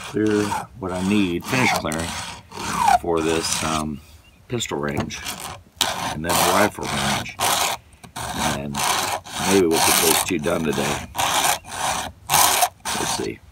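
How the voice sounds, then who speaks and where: Hoarse middle-aged man, very low voice, casual rambling vlogger, outdoor noise behind him. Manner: rambling, lots of fillers and restarts. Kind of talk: casual outdoor vlog narration. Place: outdoors on wooded property.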